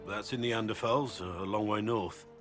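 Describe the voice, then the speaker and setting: A seasoned warrior and recruiter from a fantasy game, played by a male actor. His voice sits on the low side, blunt and earnest, with plain-spoken gruffness. Music plays lightly behind him. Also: fairly even intonation, normal speed, fairly bright tone, natural voice. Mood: guarded, matter-of-fact. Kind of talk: dramatic fantasy game dialogue. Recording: background music under the voice.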